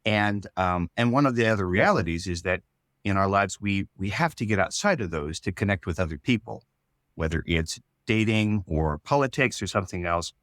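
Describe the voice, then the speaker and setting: Writer guy chatting in an interview, lowish voice, dry sound, thinks as he goes. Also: expressive, moderate pace, a bit bright, dry recording. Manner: hesitant, reflective, restarting phrases. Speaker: writer-creator interviewed about his show. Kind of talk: casual interview chat.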